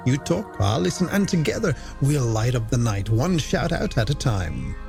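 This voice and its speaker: A man's voice: mid-range voice, sing-song, moderate pace, gravelly, darkish tone. An Irish man presenting a late-night music radio show in a polished, theatrical way with flowery phrasing and rhetorical questions, over a music bed.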